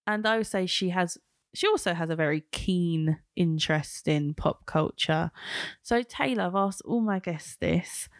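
A woman hosting a casual pop culture podcast, chatty and quick to laugh. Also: on the low side, dry recording, clean studio sound. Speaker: podcast host.

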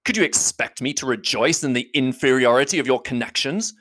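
A reader voicing a proud, stiff gentleman who speaks in a measured, contemptuous, slow way. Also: mid-range voice, lively intonation, close to an everyday voice. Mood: cold. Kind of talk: audiobook reading.

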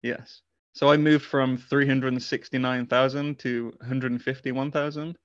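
A modest man talks as a podcast guest in an even, measured way. His voice stays flat, and the recording sounds dull and dry, like a remote line. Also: mid-range voice, no echo. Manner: modest, measured, chuckles often. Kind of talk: casual podcast interview.

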